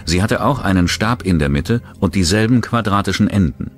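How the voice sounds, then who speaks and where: Man, very low voice, documentary narration, steady delivery, loud music underneath. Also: normal speed.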